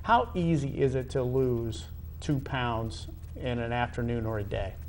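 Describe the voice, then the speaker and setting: A man hosting a conversational sports interview, feeling his way through questions in a halting manner and often rephrasing them. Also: medium pitch, a little hiss or hum. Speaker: interviewer, show host.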